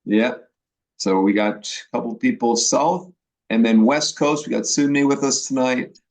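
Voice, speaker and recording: A man with an Upper Midwest American accent from Milwaukee, a professor leading an online class orientation in a chatty way, with restarts and pauses. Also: medium pitch. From Milwaukee, Wisconsin. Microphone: video call.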